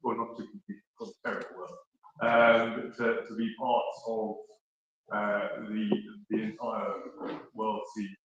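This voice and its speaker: A man's voice: on the low side, completely flat delivery, unhurried, a bit bright, lo-fi sound. A man from South Africa giving a short, earnest speech at an awards event, loose and full of fillers. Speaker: award guest speaker. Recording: echoey hall.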